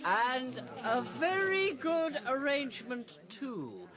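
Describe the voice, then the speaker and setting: A woman's voice: high voice, big pitch swings, takes it slowly, a crowd in the background, full character voice. A woman playing a fawning pig propagandist, ingratiating and wheedling, in a radio drama. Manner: ingratiating, hesitant openings. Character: smooth, fawning pig propagandist toadying to the leader.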